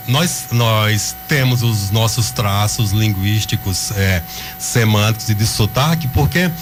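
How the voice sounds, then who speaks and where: A man from the Pernambuco backlands, a writer and linguist, speaking as a guest in a radio interview with a thoughtful, measured manner. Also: medium pitch. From Pernambuco sertão, Brazil. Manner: thoughtful, measured, slightly professorial.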